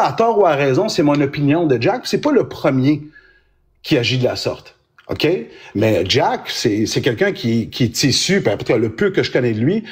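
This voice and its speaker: A man's voice: on the high side, husky. A man with a Québécois French accent, a lawyer explaining his view in a live-stream debate, often restarting his words. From Quebec. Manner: explanatory, hesitant with frequent restarts.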